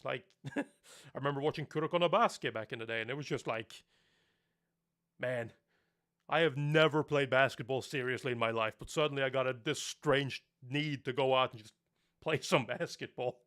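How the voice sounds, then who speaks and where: Man, young adult, Norwegian native speaker, rambling, laughs a lot, bright tone. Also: mid-range voice, moderate pace. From Norway. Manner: rambling, self-correcting, laughs often. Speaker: streamer. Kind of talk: casual live-stream chat.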